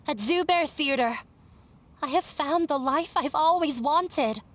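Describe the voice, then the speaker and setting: An actress voicing a resolute young woman in a video game, speaking in a measured, deliberate way. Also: high voice. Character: young woman standing up to her family, resolute.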